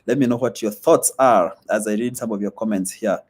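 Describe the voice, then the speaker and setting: A young man talks casually into a live stream. His voice sits on the low side, and his speech is loose, with plenty of fillers. His is Swahili. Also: a little fast. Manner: loose and chatty, many fillers, reads comments aloud. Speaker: vlogger hosting a live stream. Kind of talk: casual live-stream chat reading comments.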